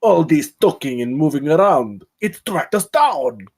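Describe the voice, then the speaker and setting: Man acting stranded scientist, talkative, nervous, fairly high male voice, sci-fi script read. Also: big pitch swings, measured pace, darkish tone, full character voice. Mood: urgent. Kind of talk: screenplay table read acting.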